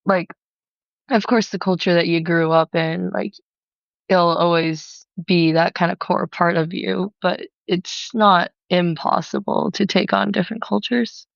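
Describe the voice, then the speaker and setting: Sex: woman. Origin: United States. Role: guest. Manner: relaxed, measured. Pitch: lowish. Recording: dull, lo-fi, dry. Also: creaky, dry recording. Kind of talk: casual chat.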